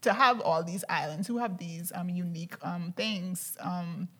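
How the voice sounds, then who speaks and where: A woman's voice: fairly low pitch, a little slow. A woman from the Bahamas with a Bahamian accent, talking informally and conversationally as a panelist in a discussion. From Andros, Bahamas.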